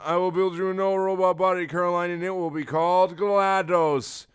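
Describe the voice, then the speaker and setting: A man's voice: sits high, steady tone, moderate pace, smooth, pitched above the performer's own voice, close to an everyday voice. A man voicing a melodramatic, devoted muscly engineer in a comic fan fiction reading. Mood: anguished.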